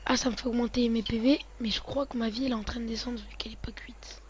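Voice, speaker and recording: A man's voice: extremely high pitch, steady tone, fast talker. A male gamer talking viewers through his gameplay, often muttering half to himself between remarks. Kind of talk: let's play commentary. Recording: noisy home recording.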